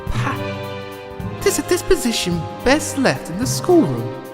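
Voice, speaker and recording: A woman acting as a haughty, spirited lady of olden times in an audio drama. Her highish voice swoops wildly and slowly, full of scorn, over music. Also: huge pitch swings, full character voice. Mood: scornful. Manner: haughty, archaic phrasing.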